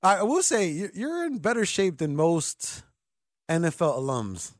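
Man in his thirties, sports radio host, teasing banter, lively pitch, dry studio mic. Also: measured pace, no echo. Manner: joking, animated, teasing. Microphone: studio microphone.

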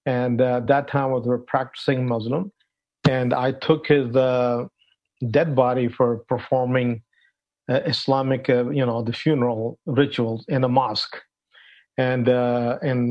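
An older man from Pakistan telling his life story as an interview guest, halting, with many fillers and non-native phrasing. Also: medium pitch. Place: at home, in a room. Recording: dull lo-fi sound.

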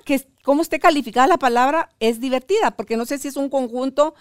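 A woman's voice: high voice, fast talker, no echo, clean studio sound. A woman hosting a conversational podcast interview, speaking as the interviewer.

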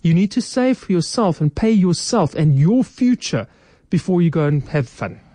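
A woman speaks as a guest in a radio studio, her voice mellow and soft-edged. She lays out financial advice in a practical, step-by-step way, with a dry, close sound. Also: lowish voice, lively intonation, normal speed, dry recording.

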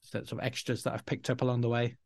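A man's voice: medium pitch, monotone, fast talker, a little mellow, dry recording. A middle-aged man from Yorkshire with a Yorkshire accent, chatting as a podcast guest in a rambling, self-deprecating way. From Yorkshire, England. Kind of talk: casual interview talk.

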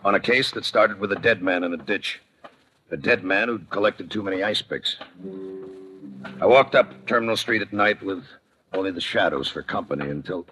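Man acting as a low-voiced, deadpan hardboiled detective. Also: moderate pace, close to an everyday voice, low-quality recording. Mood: brooding. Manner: clipped, deadpan, wry delivery. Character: hardboiled male police homicide lieutenant, weary and sardonic. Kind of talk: 1950s radio crime drama narration and dialogue. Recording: vintage radio recording with prominent music.

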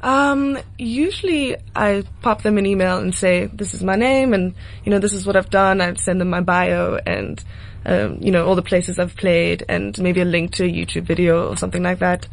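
A woman's voice: fairly low pitch, steady tone, normal speed, vocal fry. A South African woman in her twenties talking casually and informally as an interview guest. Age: 20s. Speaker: singer-songwriter guest.

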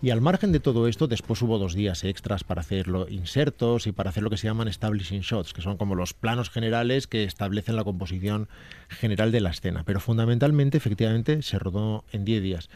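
Man, radio film commentator, low mellow voice, explains at length. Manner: detailed, explanatory, measured. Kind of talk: radio chat.

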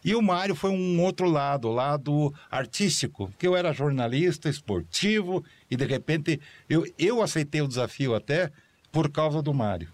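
Lively man telling old radio stories. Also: on the high side, normal speed. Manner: lively storyteller, animated asides. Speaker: guest, former producer. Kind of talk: anecdotal chat.

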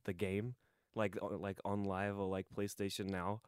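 A man's voice: fairly low pitch, little pitch movement, normal speed, smooth, no echo, studio quality. A young man co-hosting a podcast, chatting casually and musing in an informal way. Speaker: podcast co-host. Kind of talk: casual chat.

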